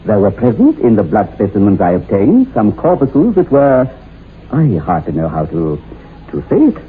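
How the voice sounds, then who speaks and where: An actor playing a perplexed physician, halting and formal, in a radio horror drama. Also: male voice, on the low side, huge pitch swings. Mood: baffled, reluctant. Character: perplexed, hesitant male physician. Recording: tinny, hissy old radio drama recording.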